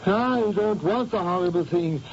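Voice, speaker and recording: A frantic, gravelly young painter. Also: male voice, high-pitched, unhurried. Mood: remorseful. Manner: frantic, pleading, breaking off mid-phrase. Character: desperate, volatile young male painter. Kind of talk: 1970s radio drama acting. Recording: dull old radio broadcast, faint hiss.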